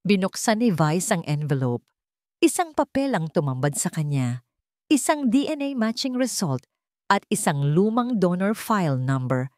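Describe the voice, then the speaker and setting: A woman's voice: mid-range voice, no room sound, clean studio sound. A woman narrating a scripted romance drama in a theatrical, suspenseful way. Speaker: story narrator.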